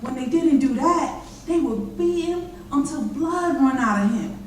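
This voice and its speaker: A woman's voice: sits high, lively intonation, a little slow, a little mellow. A woman performing a haunted formerly enslaved eyewitness in a folksy readers theater monologue. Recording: echoey chapel from far off, noisy.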